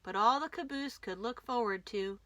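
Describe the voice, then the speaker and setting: A woman hosting a children's storytime, reading aloud with an expressive, deliberate delivery for young listeners. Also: a little high, dry recording. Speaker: children's librarian and storytime host.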